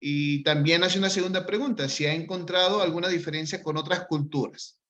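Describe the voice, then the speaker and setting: A man's voice: on the high side, fairly even intonation, fairly quick, sharp tone. A man moderating an online talk, politely reading out audience questions over a video call. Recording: dull lo-fi sound.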